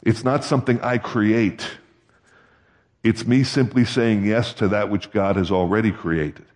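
A man's voice: on the low side, fairly even intonation, measured pace, a little mellow. Man preaching in a reverberant hall. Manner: conversational, asks the congregation questions. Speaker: pastor. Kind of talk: sermon.

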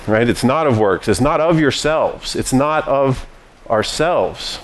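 A man's voice: medium pitch, lively intonation, slow. A man preaching a sermon as pastor, teaching in a conversational way with frequent restarts.

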